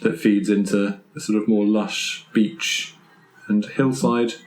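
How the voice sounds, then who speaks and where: A man's voice: fairly low pitch, fairly even intonation, slow pace, rough recording. A man playing a tabletop game, casually improvising descriptions. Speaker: roleplaying game player.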